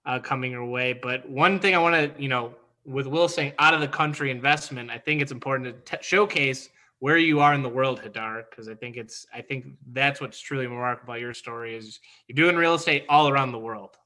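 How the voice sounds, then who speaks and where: A man's voice: medium pitch, fairly quick, few pauses, bright, forceful tone. A man co-hosting a podcast, chatting enthusiastically with the guest. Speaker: podcast co-host. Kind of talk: casual podcast conversation.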